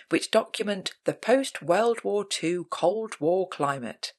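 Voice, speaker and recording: Female pub quiz host, scripted read, unhurried pace with animated rises and falls. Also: low-pitched.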